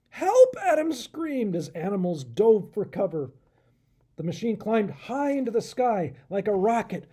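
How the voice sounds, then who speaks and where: A man reads and explains a children's story like a pastor at storytime. His voice is mellow and husky, and his pitch moves a great deal as he addresses young listeners. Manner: animated, asks the listeners questions. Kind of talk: expressive children's storybook read-aloud.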